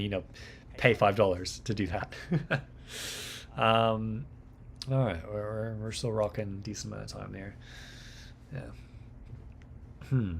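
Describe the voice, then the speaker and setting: Young man, livestream host, casual, rambling, lots of ums, mid-pitched voice. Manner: rambling, filler-heavy, repeats words. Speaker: livestream host, mixing engineer.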